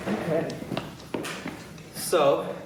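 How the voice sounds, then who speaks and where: A man's voice: a little high, drawn-out words, crowd noise. A man speaking informally as a playwright-director hosting an audience feedback session after a show. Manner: informal, conversational. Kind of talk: casual Q&A introduction. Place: indoor theatre space. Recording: echoey room, distant mic, clear noise.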